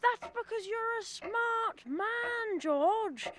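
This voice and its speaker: A woman's voice: very high-pitched, lively intonation, very slow, noisy background, full character voice. A teenage girl acting a scheming, smug rich businessman in a radio drama, persuasive and self-important. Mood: smug persuasion. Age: teens. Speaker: student radio drama actor.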